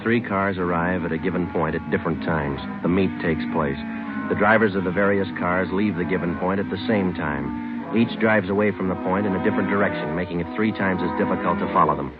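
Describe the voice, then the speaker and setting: An American actor playing a stoic police detective sergeant, matter-of-fact and terse, in the clipped style of old radio drama. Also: male voice, sits low, a little fast, natural voice. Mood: deadpan. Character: stoic, businesslike male police detective sergeant who narrates. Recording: tinny old radio broadcast, music behind.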